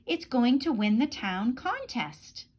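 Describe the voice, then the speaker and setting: An earnest little boy mouse from a storybook, played by a woman reading aloud. The voice is high and eager, proud in a childlike way. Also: sing-song, slow pace, darkish tone, exaggerated voice.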